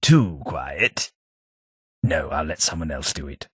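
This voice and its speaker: An actor playing a haughty, dry-witted old aristocratic ghoul in a video game, with a British accent, a raspy voice and a pompous, formal manner. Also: male voice, a bass voice, animated, takes it slowly, fairly bright tone, dry recording, heavily acted. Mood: formal, reproving. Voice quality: raspy, gravelly. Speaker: video game companion character. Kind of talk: scripted video game voice acting.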